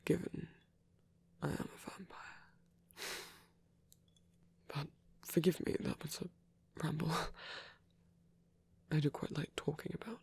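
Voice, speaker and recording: A man playing a breathy, gentle vampire priest. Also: a little high, fairly even intonation. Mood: shy, gentle. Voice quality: breathy, husky, half-whispered. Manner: hesitant, halting, apologetic, rambling. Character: gentle, shy, bashful male vampire priest. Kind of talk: intimate ASMR roleplay.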